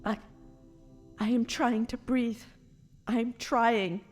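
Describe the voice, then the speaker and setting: A distraught noblewoman in an audio drama, speaks in a high, slow voice over music. She stammers and halts, anguished and frightened, yet holds on to a defiant pride. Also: steady tone, a little mellow. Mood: grief-stricken. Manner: halting, stammering, anguished delivery.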